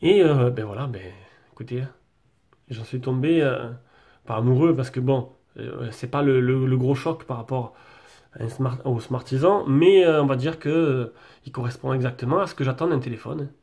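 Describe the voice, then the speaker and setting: A man's voice: mid-range voice, moderate pace, darkish tone. A man with a French accent and a head cold talking through his nose, chatting casually and hesitantly as he reviews a phone at his desk. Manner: chatty, hesitant, many fillers and restarts. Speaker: tech reviewer. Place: desk setup with a branded backdrop, indoors.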